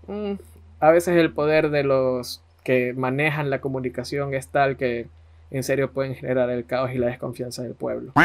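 Guy telling a story on a podcast, slightly high voice, conversational. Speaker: podcast host. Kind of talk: conversational scripted narration.

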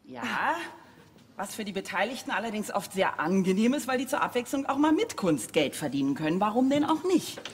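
A woman's voice: mid-range voice, sing-song, a little fast. A woman from Germany co-hosting an award show with scripted stage banter. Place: large hall on stage. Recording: reverberant hall, faint background noise.

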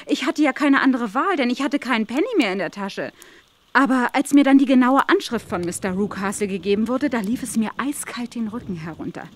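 An actress playing an uneasy, earnest and polite young woman in a German radio play. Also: on the high side. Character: anxious, polite young woman seeking a position.

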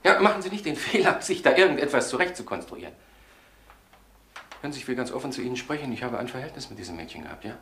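An actor playing an evasive, indignant doctor under suspicion in a German crime radio drama, hesitant and repeating himself. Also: male voice, mid-range voice, sing-song, fast talker, bright, forceful tone. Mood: evasive, defensive. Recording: roomy echo with faint background noise.

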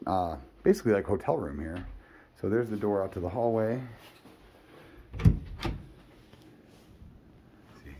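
A man giving a casual, off-the-cuff property walkthrough in a low-key, matter-of-fact way, as if thinking aloud. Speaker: real estate agent giving a property walkthrough. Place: walking through a condo, briefly outdoors. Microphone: handheld phone at arm's length. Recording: handheld phone recording with background noise.

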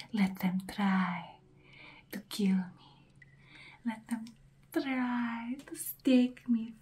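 A young woman playing a haughty, menacing, centuries-old female vampire in an ASMR roleplay, in a breathy, taunting whisper. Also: mid-range voice, mellow, exaggerated voice. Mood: menacing, scornful. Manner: slow, deliberate, taunting, condescending.

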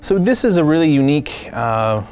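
A man's voice: on the high side, big pitch swings, normal speed, a little mellow, clear, resonant tone. Man explaining gear, music behind him. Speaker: product demonstrator and synth expert. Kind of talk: explanatory product demo chat. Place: small studio room, indoors.

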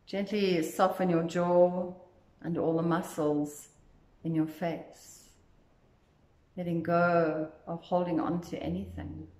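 A woman leading a guided meditation as instructor, speaking in a gentle, soothing, unhurried way. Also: lowish voice. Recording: echoey, roomy sound.

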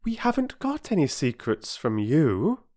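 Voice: medium pitch, huge pitch swings, unhurried, warm tone, voice pushed up. A narrator voicing an earnest young schoolgirl from a children's storybook, imploring and well-spoken. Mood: anxious. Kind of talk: children's storybook character reading.

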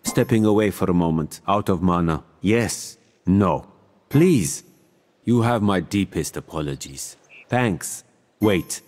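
Ra, a theatrical mystic seer god in reverb. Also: male voice, sits low, expressive, darkish tone, exaggerated voice. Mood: calm, gracious. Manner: theatrical, measured, formal. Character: mystic male seer deity, serene, grandiose, smugly wise. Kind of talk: video game character voice lines.

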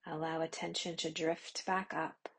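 A woman leads a guided meditation at a very slow, unhurried pace. Her voice is low, husky and a little gravelly, with long pauses and some phrases sinking into a whisper. She keeps her tone flat and even, with little rise and fall. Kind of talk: guided yoga nidra meditation.

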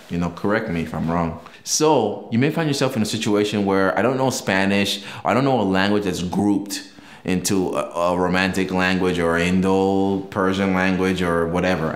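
A man's voice: deep voice, roomy sound. A young man whose native language is Yoruba, hosting a casual language-learning video and explaining things with examples and frequent restarts. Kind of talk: casual talk to camera.

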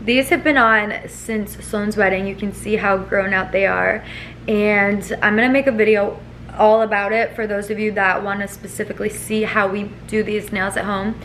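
A woman's voice: medium pitch, steady tone, normal speed, fairly bright tone, slight background noise, rough recording. A woman in her twenties chatting casually to the camera at home, rambling with lots of fillers. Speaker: vlogger. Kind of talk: casual vlog chat to camera. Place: home living room and kitchen. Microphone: camera at arm's length.

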